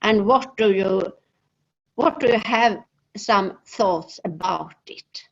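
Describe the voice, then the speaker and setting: A woman's voice: medium pitch, slow, vocal fry. A woman with a Swedish accent moderating an online seminar over a video call, hesitant and full of filler sounds. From Sweden. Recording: dull lo-fi video call audio.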